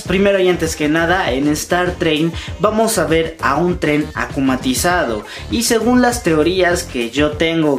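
A man in his twenties hosting a fan news vlog, speaking emphatically and directly to his viewers from a room at home. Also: a little high. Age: 20s. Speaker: YouTube host. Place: small room at home, indoors. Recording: music behind the voice. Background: background music.

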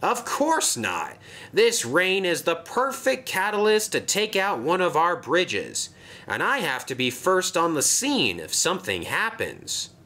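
A man's voice: high voice, wildly swooping pitch, a little slow, sharp tone, voice pushed up, heavily acted. A man voicing a dutiful, tireless male narrow-gauge engine who talks in a matter-of-fact way.

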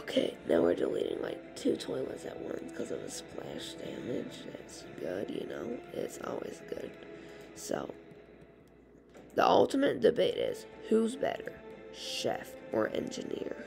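A woman with a raspy, hoarse voice giving rambling, casual commentary while playing a game. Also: very deep voice, wildly swooping pitch, measured pace, over music. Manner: rambling, chatty, full of fillers. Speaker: gaming channel host.

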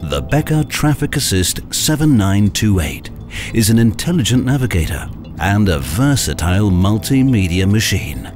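A man's voice: on the low side, very animated, unhurried. A man giving scripted promotional narration as a voice-over. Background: music.

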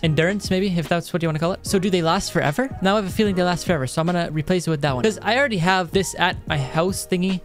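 Chatty, excitable young man gaming. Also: fairly high pitch. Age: young adult. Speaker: gaming video host. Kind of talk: casual let's-play commentary. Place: indoor room at a desk. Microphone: close dynamic studio microphone.

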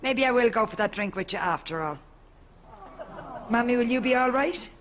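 Actress as a dry grown-up daughter. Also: female voice, a little high, animated, moderate pace, natural voice. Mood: dry concern. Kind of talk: studio sitcom acting.

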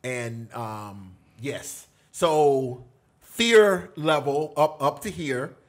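A middle-aged man casually telling animated stories as a podcast guest, in a small studio on a boom mic. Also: drawn-out words. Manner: animated, gestures a lot while telling prison stories. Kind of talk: casual storytelling. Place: small studio with foam panels. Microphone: boom mic on table.

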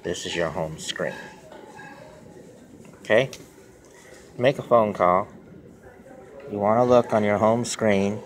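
Slow-talking low-voiced man giving a tutorial. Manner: plain, halting short phrases, repetitive. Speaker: tutorial presenter. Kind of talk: simple step-by-step phone tutorial. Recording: noisy handheld phone recording with clicks.

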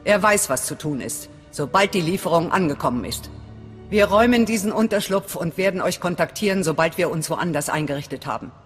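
Eine Schauspielerin als strenge, zurückhaltende Anführerin einer Widerstandszelle in einem Videospiel, befehlend und sachlich. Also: female voice, lowish voice, animated, fairly quick, natural voice. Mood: commanding. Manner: commanding, matter-of-fact. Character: stern, guarded female resistance cell leader. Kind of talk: dramatic video game dialogue in German. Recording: long hall reverb. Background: background music.

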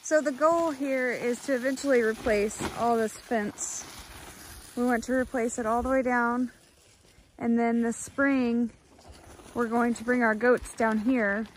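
A woman's voice: fairly high pitch, fairly even intonation, unhurried, low-quality recording. A young woman narrating a casual farm vlog, chatty and explaining the work as she films outdoors on a snowy farm. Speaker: farm vlogger. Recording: outdoor recording with clear background noise.

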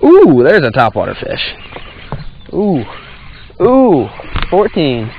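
Lively young man on a fishing boat. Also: on the high side, slow pace, highs cut off, slight background noise. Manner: teasing, keeps score aloud. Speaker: fishing vlogger. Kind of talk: casual play-by-play while fishing. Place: small boat on a lake outdoors. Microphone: action camera on a chest harness.

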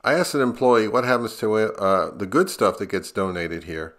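A man's voice: fairly low pitch, normal speed, fairly bright tone. A middle-aged American man narrating a casual vlog in a wry, conversational way. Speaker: audio gear YouTuber.

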